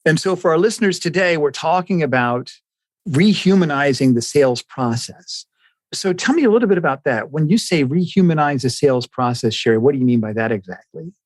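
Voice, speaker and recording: A man's voice: fairly high pitch, expressive, fairly quick, dry recording. A man hosting an interview podcast, speaking in an enthusiastic, effusive manner as he introduces and questions. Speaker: podcast host.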